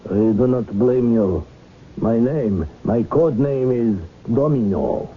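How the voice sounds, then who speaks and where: A man's voice: mid-range voice, slow, slightly rough. A male actor playing a melodramatic, commanding secret agent who speaks broken English with a foreign accent in a 1970s radio drama. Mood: menacing, smug. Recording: tinny narrow-band 1970s radio recording.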